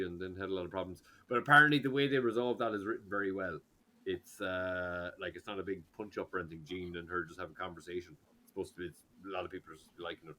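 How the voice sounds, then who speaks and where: A man's voice: fairly low pitch, bright, forceful tone. A man with an Irish accent, chatting loosely on a podcast with lots of fillers and restarts. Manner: rambling, hesitant, with many fillers. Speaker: podcast co-host. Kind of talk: casual chat.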